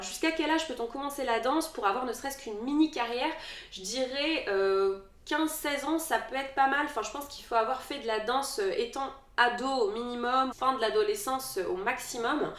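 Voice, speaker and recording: A woman's voice: a little high, distant mic. Thirty-something woman in an echoey room. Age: 30s. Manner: hesitant, many fillers and restarts. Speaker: dance vlogger. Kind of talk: casual Q&A vlog.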